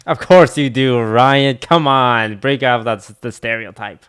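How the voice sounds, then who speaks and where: A man's voice: medium pitch, a little slow, fairly bright tone, clear, resonant tone, soft background music. A man streaming, reacting to a video with dry, blunt, teasing remarks. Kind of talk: casual live-stream commentary.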